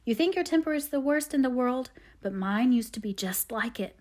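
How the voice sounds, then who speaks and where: Middle-aged storybook mother, voiced by a woman reader: patient, devout, tender, measured delivery. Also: fairly high pitch, lively intonation, darkish tone. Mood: gentle counsel.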